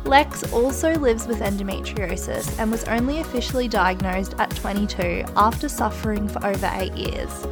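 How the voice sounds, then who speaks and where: A woman, speaking as the host of a podcast. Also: fairly high pitch. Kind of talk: scripted intro and casual podcast chat.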